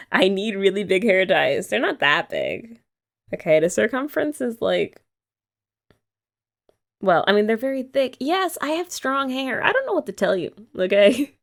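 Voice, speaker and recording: A woman's voice: a little high, big pitch swings, unhurried, vocal fry. A young woman chatting playfully and teasingly in a girlfriend roleplay ASMR. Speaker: ASMR creator.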